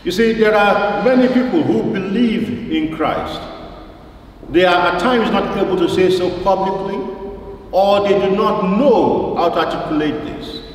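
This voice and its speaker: A man preaching a sermon in an emphatic, deliberate way, repeating phrases for weight and putting questions to his listeners. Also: high-pitched. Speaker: preacher at a church service. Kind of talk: sermon with scripture quotation and rhetorical questions. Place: large reverberant church, indoors. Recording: very echoey church, distant mic, faint noise.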